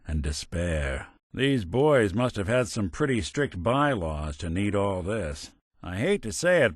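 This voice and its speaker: Casting: hardboiled synthetic private eye for a game, middle-aged, lowish pitch, sardonic and weary, very dry close recording. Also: male voice, dry recording. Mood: mock-grand. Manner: wry, dry, world-weary. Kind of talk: video game voice acting, noir detective quips.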